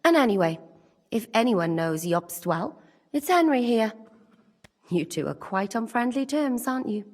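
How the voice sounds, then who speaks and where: An actress playing a brisk, confident woman among medieval rebels in a video game cutscene, direct and composed. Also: on the high side, big pitch swings. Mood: brisk, deflecting. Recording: long hall reverb.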